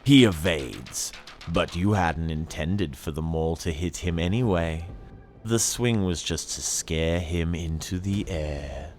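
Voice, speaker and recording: A man reading dark science fantasy as dramatic narration, with theatrical, menacing emphasis and deliberate pauses. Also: deep voice. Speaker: narrator reading an audiobook excerpt. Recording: music under the voice. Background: dramatic background music.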